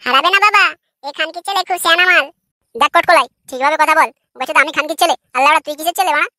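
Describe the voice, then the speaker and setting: Woman acting a shrill, cheeky cartoon boy. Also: rapid-fire, pushed and bright, dry recording, studio quality. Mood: angry squabbling. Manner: brash, argumentative, swearing. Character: cheeky foul-mouthed squabbling village boy. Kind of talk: comic cartoon dialogue.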